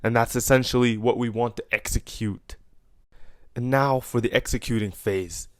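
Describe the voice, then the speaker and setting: A man's voice: medium pitch, normal speed, dry recording. Dry-sounding young man with an Egyptian accent. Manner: relaxed, with fillers and self-corrections. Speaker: programmer explaining his project. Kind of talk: casual coding walkthrough.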